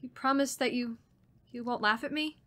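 A woman acts a frightened young woman in a radio play, insistent and haunted. Her voice is on the high side. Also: normal speed, bright, forceful tone. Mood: nervous. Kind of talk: radio drama acting.

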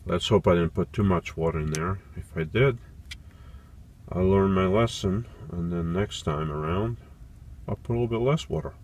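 A man's voice: sits low, a little hiss or hum. A man in his forties making a casual vlog inside a small camper van, thinking aloud with fillers and restarts as he works. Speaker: van life vlogger.

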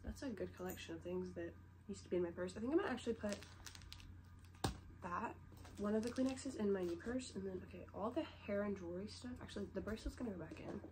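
A woman's voice: mid-range voice, fast talker. A young woman with a stuffy head cold, chatting and rambling casually in her bedroom with lots of "like" fillers. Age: young adult. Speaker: vlogger. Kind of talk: casual show-and-tell chat. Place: bedroom, sitting on the bed. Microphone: camera set low in front of her. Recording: noisy home recording.

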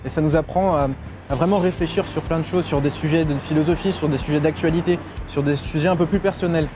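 A man's voice: fairly high pitch, fairly even intonation, quick pace. A young man, a student and debate society president, talking fluently and thoughtfully in an interview. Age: young adult. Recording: music bed under the voice.

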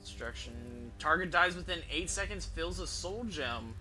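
A man's voice: on the high side, lively intonation, a little slow, sharp tone, rough recording. The guy on a late-night game stream, muttering and cursing his way through a dungeon over the soundtrack. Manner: profane, slangy. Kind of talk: casual live gameplay commentary. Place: small room at home. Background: game music.

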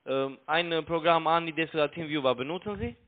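A man with a foreign accent and non-native, halting German with broken grammar, making an unscripted sales call over the phone as a broker. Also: on the high side. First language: not German. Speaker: cold caller posing as a broker. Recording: tinny narrow phone line.

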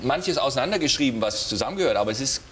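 A middle-aged man hosting a TV show, reading out a dictation slowly and joking with the contestants between sentences. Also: mid-range voice, lively intonation, fairly bright tone. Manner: drawn-out dictation, teasing asides. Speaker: talk show host dictating a spelling test. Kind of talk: slow comic dictation with banter. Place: TV studio with a live audience. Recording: studio audience noise.

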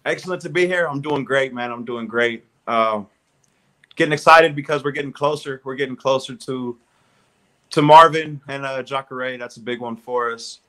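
A man's voice: medium pitch, moderate pace, sharp tone. Friendly, upbeat Southern Californian man. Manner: upbeat, friendly, repeats words while thinking. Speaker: guest, strength and conditioning coach. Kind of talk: casual video call interview. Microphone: video call.

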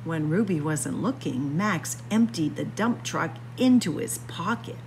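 A woman reading a picture book aloud to young children in a playful, expressive story time manner. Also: low voice, a little slow, a little hiss or hum. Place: indoors, library with bookshelves.

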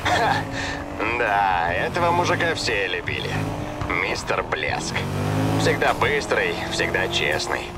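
An actor playing a cocky, chatty street racer in a video game, slangy and teasing. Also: male voice, low-pitched, big pitch swings, takes it slowly, heavily acted. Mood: chatty, reminiscing. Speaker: street racing character. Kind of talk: Russian game dub dialogue. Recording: car engine noise behind.

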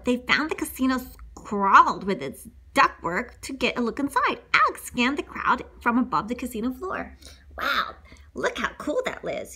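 A woman tells a story to children as a read-along. Her voice is fairly high and very bright, and her delivery is playful and full of exclamation, with ad-libbed comments. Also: husky.

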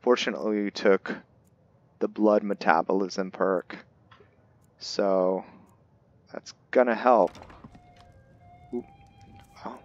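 A man giving casual roleplay gameplay commentary, thinking aloud in short, halting phrases as he plays. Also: fairly low pitch, no room sound, highs cut off. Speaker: gaming video host, player. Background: game music.

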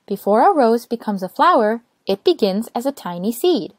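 A woman reads a children's story aloud. Her voice is fairly high and lively in pitch, expressive and careful. It is recorded dry, with little room sound. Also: moderate pace, no echo. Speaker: storytime reader.

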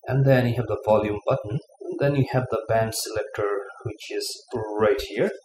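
A man giving a casual unboxing review of a gadget, talking haltingly with many fillers, at a table in his living room. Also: lowish voice. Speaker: product reviewer. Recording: echoey room, faint room noise.

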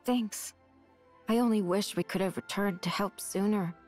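Young woman warrior mage, played by an actress, game cutscene: calm, gentle, earnest, mid pitch. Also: normal speed, soft background music, close to an everyday voice. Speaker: voiced game character.

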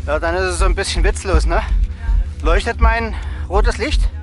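A man's voice: sits high, moderate pace. Wry man narrating outdoors, music behind. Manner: playfully ornate, wry phrasing. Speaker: vlogger narrating an outdoor hike. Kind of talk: casual narration to camera, chatting with companions. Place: outdoors in a snowy forest by a campfire. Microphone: handheld camera, speaker behind it.

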